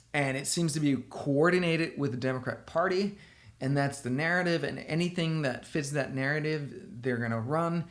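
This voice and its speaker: A man from Denmark with a Danish accent, a software company founder giving opinionated, casual commentary straight to the viewer. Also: fairly high pitch. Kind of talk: casual commentary responding to a blog post.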